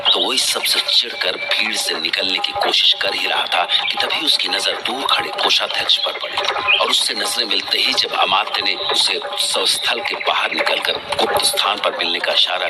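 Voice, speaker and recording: Very deep male story narrator over music. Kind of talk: dramatic scripted story narration.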